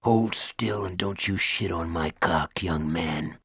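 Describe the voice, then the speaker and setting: A cruel prison bully, as a male narrator puts him on in a storybook reading, all low, threatening whispers. The voice sits under the reader's usual pitch and stays hushed and close. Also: measured pace, breathy edge, dry recording, exaggerated voice.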